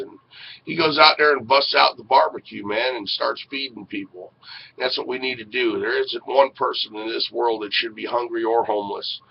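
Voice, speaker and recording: Middle-aged American male show host, folksy and earnest, medium-pitched, on muffled headset audio with faint hiss. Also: gravelly. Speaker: radio and web show host. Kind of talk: casual live show talk, promoting programs. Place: small indoor room with posters. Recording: dull, noisy webcam audio.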